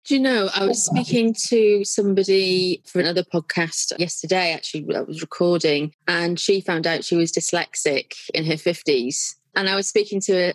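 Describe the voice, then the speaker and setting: Host: Welsh woman, lowish voice, chatty and friendly, dry close mic. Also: no echo, low-quality recording. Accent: light, mixed North Welsh. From North Wales. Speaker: podcast host. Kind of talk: casual podcast interview.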